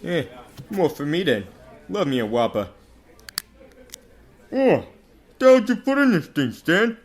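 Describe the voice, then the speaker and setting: A man's voice: on the high side, wildly swooping pitch, measured pace, soft background music. A man playing a brash, self-serving hustler in a radio drama, with slangy, wisecracking showman talk. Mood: grumbling, blunt.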